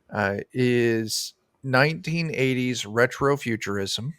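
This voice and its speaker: A man's voice: mid-range voice, pushed and bright, smooth, dry recording, studio quality. A middle-aged man, a guest game designer, chatting casually in an interview on a video call. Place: indoor room, on a video call.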